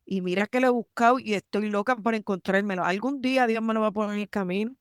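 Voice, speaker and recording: A woman from Puerto Rico with a Puerto Rican accent, telling her story in a lively interview and mixing English terms into her Spanish. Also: mid-range voice, quick pace, clean tone, dry recording, studio quality. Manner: animated, emphatic, mixes English terms into Spanish. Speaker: army lawyer, guest.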